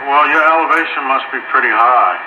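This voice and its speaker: A man's voice: mid-range voice, a little fast, dull audio. Man bantering over echoey, static-filled radio. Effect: two-way radio transmission. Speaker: amateur radio operator on air.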